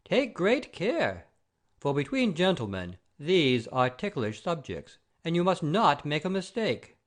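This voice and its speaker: A man's voice: on the high side, sing-song, measured pace. An actor playing a proud, blustering baron and father-in-law in a theatrical comedy audio play, pompous and declamatory. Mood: stern, grave. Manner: pompous, oath-swearing, declamatory.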